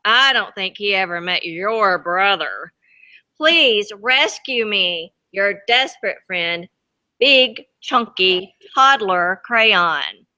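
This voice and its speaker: A woman acts out a fed-up little storybook crayon, desperate to be saved. The voice is whiny and exasperated, drawn out slowly, with lively rises and drops in pitch. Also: heavily acted. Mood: exasperated, pleading. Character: desperate, fed-up toddler's chunky crayon begging for rescue.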